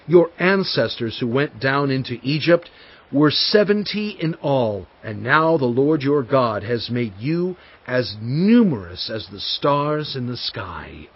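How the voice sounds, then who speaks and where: An actor playing a solemn prophet in dramatized scripture, exhorting his people in earnest, measured oratory with weighty pauses. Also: mid-range voice, husky, heavily acted. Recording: dull studio track over faint music.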